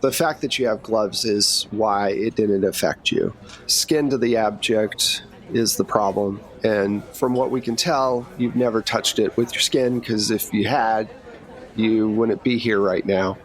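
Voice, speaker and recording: A man's voice: on the low side, a little slow, natural voice. A man playing a brash, cigar-smoking woman agent in a tabletop game, talking bluntly and casually. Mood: frank, matter-of-fact.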